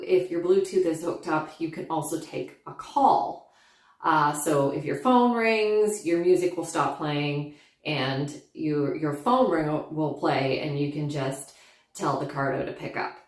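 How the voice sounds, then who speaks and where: A woman's voice: low-pitched, measured pace. A woman vlogger speaking in a chatty, enthusiastic way, casually reviewing motorcycle gear and narrating rides.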